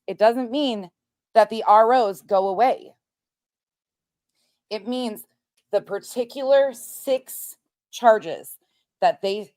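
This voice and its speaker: A woman's voice: mid-range voice, a little mellow, no echo. Woman, American accent, online commentary host, emphatic, explanatory, repetitive for stress, dry close recording. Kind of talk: casual commentary, reading documents aloud.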